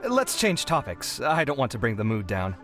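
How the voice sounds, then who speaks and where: A man's voice: mid-range voice, huge pitch swings, moderate pace, a bit bright, soft background music. An actor playing a nervous young male contestant who hesitates and stumbles over denials, in video game dialogue. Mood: wistful.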